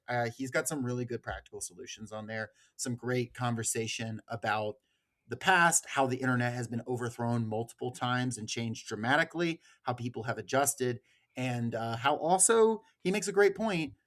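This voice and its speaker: A man hosting a podcast in a casual, informal discussion, animated and given to swearing. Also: medium pitch, moderate pace. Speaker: podcast host.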